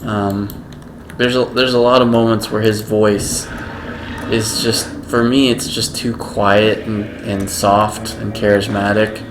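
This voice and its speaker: A man giving casual gameplay commentary, slow and halting, with a self-described monotone voice. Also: lowish voice, noisy background. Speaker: gaming video creator.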